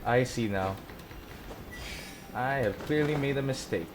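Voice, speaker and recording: A man's voice: mid-range voice, a little slow, background noise. A man giving casual, exclamatory reactions while playing through a game. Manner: exclamatory, echoes the game's dialogue. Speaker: gaming streamer.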